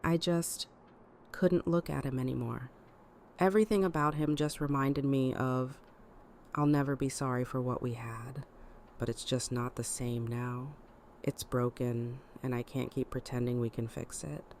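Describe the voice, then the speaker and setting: A woman's voice: rumbling low, steady tone, unhurried, darkish tone, slight background noise. A woman playing a weary, tough middle-aged woman in an audio drama, guarded and grieving, with clipped, plain speech. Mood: weary, sad.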